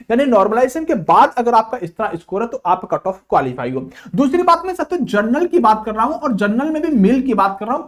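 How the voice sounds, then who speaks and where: Lively-voiced male exam coach. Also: very high voice. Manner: asks and answers his own questions, keeps addressing listeners as friends. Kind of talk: informal video lecture.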